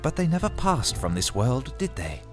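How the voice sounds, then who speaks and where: Man doing an eager knight, very lively and questioning, music clearly underneath. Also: mid-range voice, normal speed, close to an everyday voice. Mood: curious. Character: Turum, earnest questioning male knight. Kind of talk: audio drama acting.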